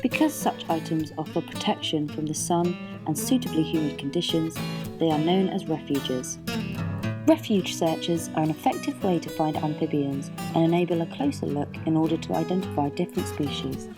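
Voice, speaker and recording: A woman's voice: deep voice, expressive, moderate pace. A young woman reading a scripted instructional narration for a training film. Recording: voice-over under loud guitar music. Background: plucked guitar music.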